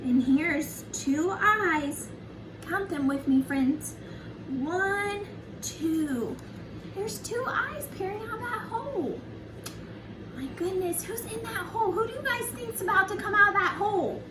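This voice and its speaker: High sing-song woman storyteller, roomy distant recording. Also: animated, slow. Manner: sing-song, addresses young children directly as friends. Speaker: children's storyteller, early childhood educator. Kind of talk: read-aloud picture book with chatty asides to children. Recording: roomy, distant mic, faint background noise.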